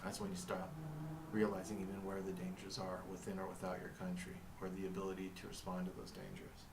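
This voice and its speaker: A man whose first language is Arabic, thinking aloud in a reflective monologue, halting and restarting as he reads from notes. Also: low-pitched, monotone, gravelly, far from the mic, very noisy. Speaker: vlogger narrating his own story. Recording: echoey room, mic set back.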